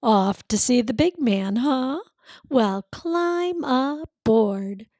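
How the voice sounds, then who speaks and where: A woman's voice: fairly high pitch, animated, drawn-out words, darkish tone, no room sound, studio quality. A woman voicing a friendly, encouraging big train in a children's storybook, in a hearty, reassuring manner. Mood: friendly, welcoming. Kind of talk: children's storybook read-aloud.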